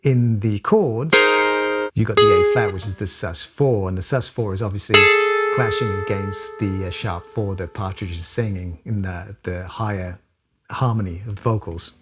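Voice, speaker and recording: A man from South Wales, calmly breaking down chords and modes in a music lesson. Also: on the low side, normal speed. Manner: relaxed, thoughtful, casual with fillers. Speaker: music teacher. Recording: song playing loudly behind.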